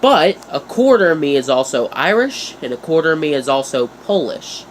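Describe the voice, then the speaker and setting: A man's voice: on the high side, very animated, moderate pace, slight background noise, low-quality recording. Stuffy-nosed young man rambling jokily. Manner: rambling, jokey, self-mocking asides. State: stuffy from a cold. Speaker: YouTuber. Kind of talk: casual vlog talk.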